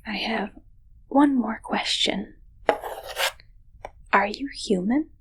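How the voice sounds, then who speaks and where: Woman playing an awkward, formal alien posing as ship's medic: hushed ASMR whisper, very unhurried, halting, faint noise behind. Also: fairly high pitch. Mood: hesitant, curious. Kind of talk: ASMR roleplay, mostly whispered.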